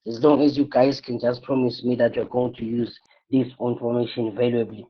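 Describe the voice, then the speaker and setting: A man's voice: mid-range voice, little pitch movement, moderate pace, dull audio. A tired man, coaching a live online class and repeating his points with rhetorical questions. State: tired, voice strained.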